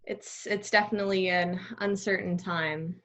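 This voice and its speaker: A woman's voice: fairly low pitch, fairly even intonation, moderate pace, fairly bright tone. A young adult woman hosting a casual interview over a video call, asking questions as a student interviewer.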